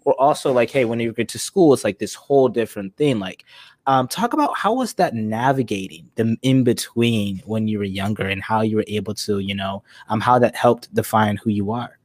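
A man's voice: lowish voice, normal speed, no echo. A man hosting a casual podcast interview, asking questions in a rambling way full of fillers. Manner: rambling, many fillers like "you know". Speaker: podcast host.

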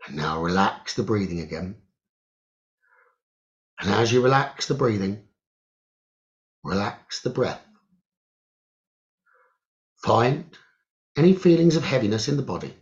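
A man leading a guided meditation, giving gentle instructions in short phrases with long pauses, on a muffled recording. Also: lowish voice, very animated. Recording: muffled, dull lo-fi audio.

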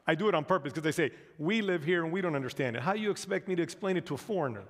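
A man's voice: fairly high pitch, animated, rapid-fire, long reverb tail. A man from the United States speaking as a guest missionary in a church, telling humorous anecdotes to the congregation. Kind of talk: storytelling sermon talk. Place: large church auditorium.

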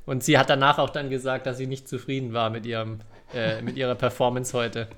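A man's voice: medium pitch, flat delivery, a little fast, a bit bright, slight background noise. A man hosting a podcast, chatting casually about sport. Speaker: podcast host. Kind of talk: casual chat on a badminton podcast.

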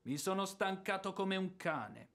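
Blustering, hot-tempered landowner, swooping pitch. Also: male voice, animated, a little slow, a bit bright, exaggerated voice. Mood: exasperated. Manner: emphatic, sarcastic, grumbling. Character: blustering, hot-tempered landowner and former officer, demanding a debt. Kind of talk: theatrical radio drama acting.

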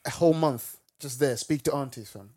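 Fast-talking slangy young man. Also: medium pitch, dark tone. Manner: upbeat, slangy, quick agreeing. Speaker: podcast co-host. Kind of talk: casual chat.

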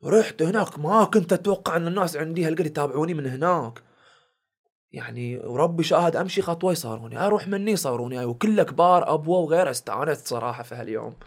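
A young man with a village Bahraini accent tells stories from his life in a relaxed podcast chat. He talks quickly in a slightly raised voice and acts out the lines of the people he meets. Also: a little high, breathy edge. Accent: Bahraini village dialect. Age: young adult. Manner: animated storyteller acting out quoted exchanges. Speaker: guest, content creator and comedian. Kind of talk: casual podcast storytelling.

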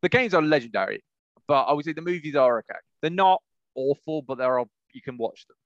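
A man's voice: fairly high pitch, animated, brisk, no room sound, lo-fi sound. A man chatting casually as a podcast co-host, rambling and jumping between thoughts. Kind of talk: casual chat about video game movies.

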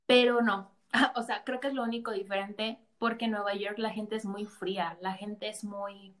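A woman's voice: medium pitch, level tone, normal speed, a bit bright. A woman with a Mexican accent, a guest in a casual chat, telling stories and laughing often. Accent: Mexican Spanish.

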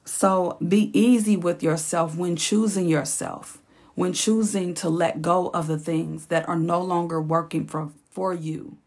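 A woman hosting her own podcast, speaking in a slow, encouraging, reflective way, with a raspy voice and features. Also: lowish voice, steady tone, a little mellow. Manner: slow, encouraging, repeats phrases for emphasis. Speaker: podcast host. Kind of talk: reflective solo podcast talk.

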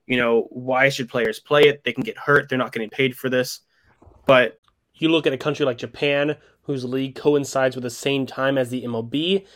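A man from Florida chatting on a sports podcast, excited and rambling, with many restarts. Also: mid-range voice. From Florida, USA. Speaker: podcast guest host. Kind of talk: casual sports podcast chat.

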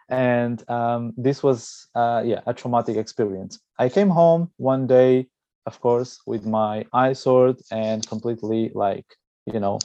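A man with a Portuguese accent giving a conversational personal account in an interview, pausing haltingly mid-phrase. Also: mid-range voice, warm tone, dry recording, dull audio. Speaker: interviewee, amateur karate practitioner.

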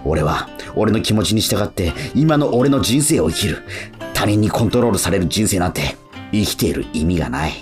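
Actor as low-voiced rebellious young street musician. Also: male voice, expressive, moderate pace, lightly acted. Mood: brooding, defiant. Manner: blunt, muttering asides in casual slang. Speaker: narrator and lead character. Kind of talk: first-person radio drama monologue. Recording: acoustic guitar music behind.

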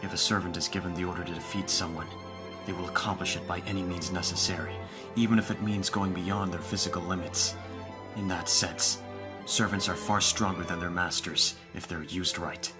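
A low-voiced, lordly young vampire played by a man speaks in formal, measured phrases, imperious yet doting toward his servant. It is an audio roleplay with clear music playing behind the voice. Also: steady tone, natural voice. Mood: defiant, calm. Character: aristocratic young male vampire master, commanding yet doting. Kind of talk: ASMR audio roleplay acting. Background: background music.

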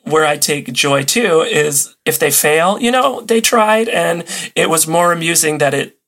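A man's voice: fairly high pitch, animated, a little slow, fairly bright tone. A man talking casually as a podcast guest, telling things in an amused way. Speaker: podcast guest, old-time radio enthusiast. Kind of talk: casual interview chat.

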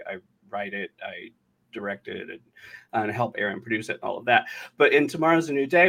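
Mid-pitched man explaining in casual conversation. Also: fairly bright tone. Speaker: podcast guest, audio drama writer and director. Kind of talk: casual interview chat.

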